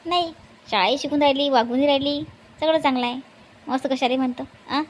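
A woman's voice: sits high, a little hiss or hum, close to an everyday voice. A woman voicing chatty, homely Marathi village women in a family comedy cartoon. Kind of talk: animated family comedy dialogue.

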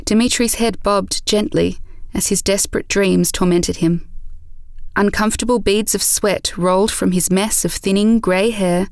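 A woman's voice: mid-range voice, creaky, no room sound, studio quality. A woman reading scripted story narration for an audio drama.

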